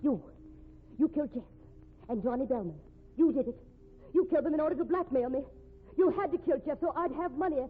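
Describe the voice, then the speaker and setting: An actress playing a cornered, defiant, sharp-tongued married woman with dramatic, emphatic 1950s radio acting. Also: sits high, lively intonation, a little fast, long pauses. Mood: frantic, accusing. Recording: tinny, noisy vintage radio recording.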